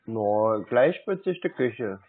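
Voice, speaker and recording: A man's voice: on the low side, animated, slow pace. A man, a native German speaker, chatting casually on a call with playful, feigned naivety. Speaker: scambaiter taking calls. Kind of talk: casual phone chat. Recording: muffled narrow phone-like sound.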